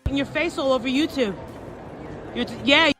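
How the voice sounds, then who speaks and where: A woman with a high voice heckles passersby out in public. She is accusatory and taunting, hammering the same jabs over and over. Prominent music runs over the clip. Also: a little fast, a bit bright. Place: out in public at a shopping mall.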